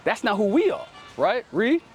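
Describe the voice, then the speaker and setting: A man's voice: high-pitched, sing-song, normal speed, faint music behind. A man preaching outdoors in street teaching style, ending nearly every sentence with "right?" and telling a helper to read. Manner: commanding, calls on the reader to read. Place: outdoors in a city.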